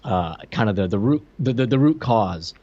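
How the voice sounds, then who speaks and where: A man with a moderately low voice chats as a podcast co-host. He explains details of a TV show informally. His phrasing is halting, restarting as he works toward each point. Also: animated, dark tone, highs cut off. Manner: halting, explanatory, with quoted asides. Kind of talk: casual chat explaining TV episode details.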